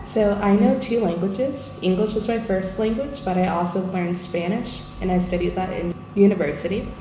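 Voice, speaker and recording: A woman's voice: mid-range voice, normal speed. A young woman from the United States, a language assistant, answering interview questions in a friendly, earnest way. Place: school room. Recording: echoey room with background noise.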